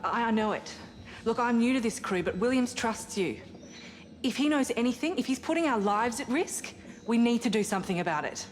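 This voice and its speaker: An actress playing an earnest, suspicious scientist aboard a spaceship in a cinematic video game. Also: female voice, fairly high pitch, expressive, a little fast, lightly acted. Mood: suspicious, urgent. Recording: long reverberant hall, music behind.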